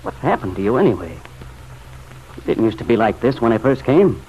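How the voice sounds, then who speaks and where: A man's voice: medium pitch, big pitch swings, a little fast. An actor playing a bitter, restless young man in an old radio drama, curt and irritable. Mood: irritated, defensive. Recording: muffled, noisy old radio recording.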